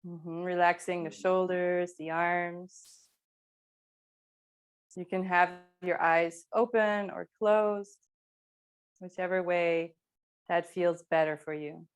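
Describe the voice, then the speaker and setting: A woman teaching yoga, giving calm, unhurried and gently encouraging guidance through movement and breathing. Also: lowish voice, clear, resonant tone. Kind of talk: guided slow movement and breathing instruction.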